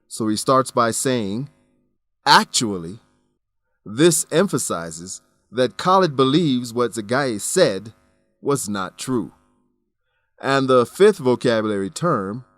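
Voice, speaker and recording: A man presenting an English lesson in scripted narration, with a measured, didactic delivery. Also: medium pitch, a bit bright, no room sound.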